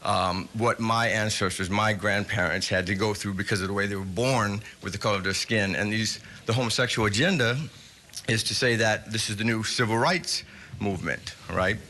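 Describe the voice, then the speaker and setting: A man's voice: lowish voice, pushed and bright. Indignant middle-aged man testifying. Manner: indignant, earnest, halting with fillers. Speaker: citizen giving public testimony. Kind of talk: public hearing testimony.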